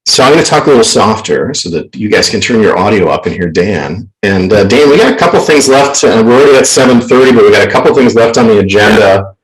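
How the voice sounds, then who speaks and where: A man with a loud voice hosting a casual live stream in a playful, showman-like way, close to the microphone. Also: on the low side, expressive, fast, hardly any pauses, rough recording. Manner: playful showman, theatrical announcing. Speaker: show host. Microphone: close professional microphone on an online call.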